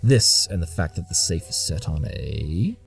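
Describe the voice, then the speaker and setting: A man's voice: low voice, big pitch swings, unhurried, creaky, soft background music, exaggerated voice. An actor playing a brilliant, imperious detective in an audio drama, condescending and impatient as he lays out deductions. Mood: smug, explaining. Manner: clipped deductive monologues, condescending.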